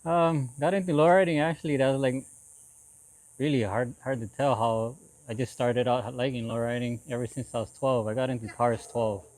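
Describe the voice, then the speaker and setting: A man in his forties from Hawaii, speaking casually as an interview guest in a halting way, often repeating short phrases. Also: mid-range voice, steady tone, darkish tone, poor audio. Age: 40s. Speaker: interview guest, lowrider builder.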